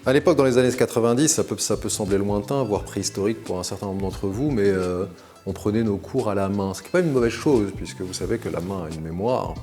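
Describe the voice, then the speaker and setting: A man in his forties, raised in Morocco, talks through his past with a very low voice. He speaks in a measured, articulate way and often pauses to hesitate. Soft music plays faintly underneath. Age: 40s. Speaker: interview guest. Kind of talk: recounting his career to camera.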